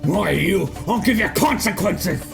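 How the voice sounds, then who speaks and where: A man voicing a brash, impatient brute in an animated fan dub, gravelly and belligerent. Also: sits high, unhurried, full character voice. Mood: angry threatening. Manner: blustering, belligerent. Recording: music behind the voice.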